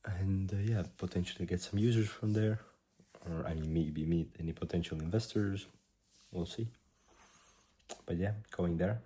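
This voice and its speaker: A man in his twenties, a startup founder, recording a rambling video diary at a hushed volume while slightly sick with a cough. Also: low-pitched, slightly rough. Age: 20s. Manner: rambling, halting, self-correcting; keeps his voice down.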